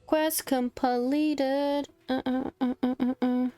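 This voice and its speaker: High, smooth-voiced unhurried woman streamer. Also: little pitch movement, warm tone, soft background music. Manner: informal, reacts and mutters between read lines. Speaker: game streamer. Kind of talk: casual livestream play, reading dialogue aloud.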